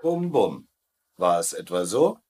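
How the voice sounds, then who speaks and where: An actor playing a cool, scheming American officer in a sitcom dub, glib and full of mock innocence. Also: male voice, low-pitched, sing-song, unhurried, darkish tone, breathy edge, full character voice. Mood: wry, brisk. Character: cheeky, cool, scheming American colonel, prisoners' leader. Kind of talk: dubbed sitcom acting.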